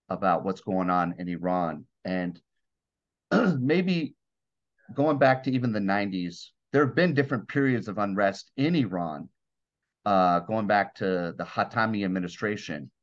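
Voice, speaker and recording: A man's voice: on the low side, lo-fi sound. A man hosting a podcast, introducing a guest and asking questions with a few stumbles. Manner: friendly, slightly stumbling questions. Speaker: podcast host.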